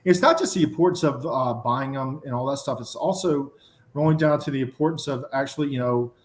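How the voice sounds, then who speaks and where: A man's voice: mid-range voice, fairly quick, roomy sound. A man with a Southern drawl, a podcast guest who runs his words together and fills with um and you know. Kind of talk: casual podcast conversation.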